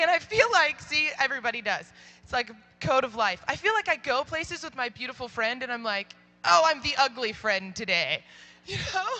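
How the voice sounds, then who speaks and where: Young woman preacher telling stories to kids, super loud voice, high and bright, does all the voices. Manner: animated, acts out quoted voices. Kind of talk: storytelling youth talk.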